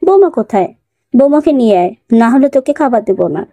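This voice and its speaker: Audio-story mother-in-law , acted by a woman: middle-aged, motherly, bossy, mellow high voice. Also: very animated, brisk. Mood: commanding. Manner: motherly, commanding.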